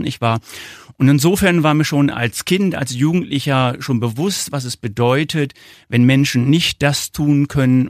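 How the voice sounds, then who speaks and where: Male interview guest, 50s, North German accent, mid-range voice, measured and explanatory, close dry sound. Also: medium pitch, a little mellow, dry recording. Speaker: interview guest, foundation founder. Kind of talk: conversational interview answers.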